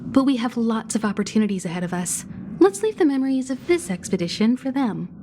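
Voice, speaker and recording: A woman playing a poised, unhurried, worldly mentor in a video game scene. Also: on the high side, sing-song, noisy background. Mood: calm, teasing.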